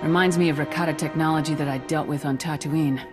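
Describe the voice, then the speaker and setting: A direct, confident military trooper in a sci-fi game, acted by a woman. Her voice is very low and businesslike, with music clearly underneath. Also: steady tone, fast, natural voice. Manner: blunt, businesslike. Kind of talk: video game voice acting.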